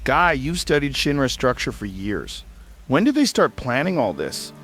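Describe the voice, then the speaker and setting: A man's voice: mid-range voice, big pitch swings, moderate pace, fairly bright tone, faint music behind. A man playing a serious investigative radio host in an audio drama. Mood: grave, probing. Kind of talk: audio drama broadcast presenting.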